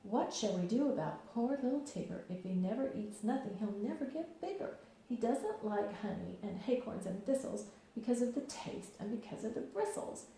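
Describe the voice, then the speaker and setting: A woman doing a gentle, earnest young bear. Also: fairly high pitch, expressive, moderate pace, gravelly, a little hiss or hum. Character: gentle, simple-minded young male bear. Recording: very echoey room.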